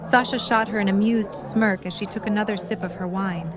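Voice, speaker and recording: A woman's voice: mid-range voice, fairly even intonation, a little fast. Narrator: woman, mid-range voice, scripted story narration for audio drama, music underneath. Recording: music and party chatter behind. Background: music and crowd chatter.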